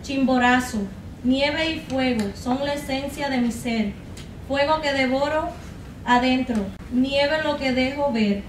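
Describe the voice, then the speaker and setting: A woman's voice: high voice, normal speed. A young woman giving a dramatic poetry recitation at a podium. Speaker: poetry contest reader. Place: college classroom, at a podium. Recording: echoey room, distant mic, faint noise.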